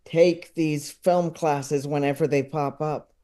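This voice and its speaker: A man chatting casually as a podcast guest, talking in a halting, rambling way. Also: a little high, fairly even intonation, mellow. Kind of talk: casual interview chat.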